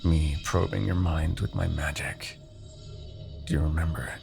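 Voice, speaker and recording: A man acts out a Demon King from a fantasy audio roleplay, commanding and silkily menacing. The low voice crackles with gravel and swings widely as he taunts with theatrical, possessive relish. Faint music plays behind him. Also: heavily acted. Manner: taunting, theatrical, drawn-out deliberate phrasing. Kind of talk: fantasy ASMR roleplay acting.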